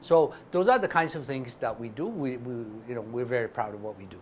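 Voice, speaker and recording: A man's voice: medium pitch, expressive, brisk, a little hiss or hum. A man from Ethiopia explaining business as an interview guest, speaking emphatically and punctuating his points with short check-ins. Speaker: interview guest, investment fund chairman.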